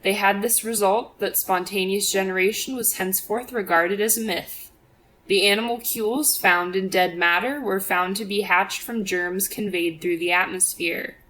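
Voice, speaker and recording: A woman's voice: mid-range voice, steady tone, moderate pace, a bit bright, low-quality recording. A woman reading a religious apologetics audiobook as scripted narration, in a formal, expository manner. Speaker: audiobook reader.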